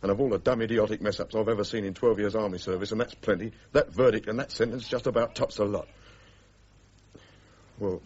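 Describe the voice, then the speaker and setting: An actor playing a blunt, loyal career army gunner, respectful to officers but outspoken, in a mid-century British radio drama. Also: male voice, lowish voice, steady tone, brisk, darkish tone, breathy edge. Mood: indignant. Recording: dull old radio recording, noisy.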